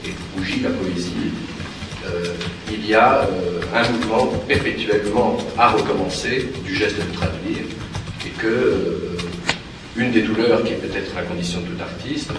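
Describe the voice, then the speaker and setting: Male translator, hesitant and reflective, low voice, distant mic with music behind. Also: a little slow, noisy background. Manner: hesitant, many fillers and restarts, thoughtful. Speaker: theatre translator. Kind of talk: reflective spoken talk. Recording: very echoey room, from far off, with music behind.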